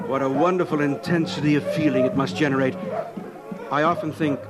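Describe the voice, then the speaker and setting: An actor playing an earnest, mild-mannered young misfit in a 1950s radio drama, speaking thoughtfully and a little hesitantly. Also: male voice, on the high side, steady tone, a little fast, over loud music, over a noisy crowd, natural voice. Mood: wistful.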